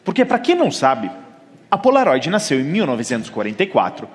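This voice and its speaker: Italian-accented man, keynote speaker, higher voice, lively swings, long hall reverb. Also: fast talker. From Italy. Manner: animated storytelling, acting out quoted dialogue. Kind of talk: conference keynote. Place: large conference hall.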